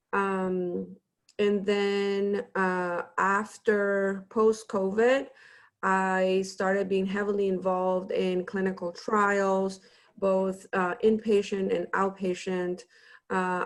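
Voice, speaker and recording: Woman from Ecuador, evenly pitched, creaky. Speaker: panelist, physician and researcher. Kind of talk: semi-formal spoken self-introduction on a panel. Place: remote video call.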